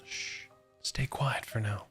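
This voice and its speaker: A man's voice: slow, over music. A man giving casual, wry live commentary while playing a game on stream. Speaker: game livestreamer.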